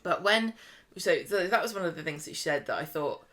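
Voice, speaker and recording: A woman with a fairly low voice co-hosts a casual podcast. She talks informally, wandering through her thoughts as she discusses television drama. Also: animated, fairly quick, a bit bright. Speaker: podcast co-host. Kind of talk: casual chat about a TV soap.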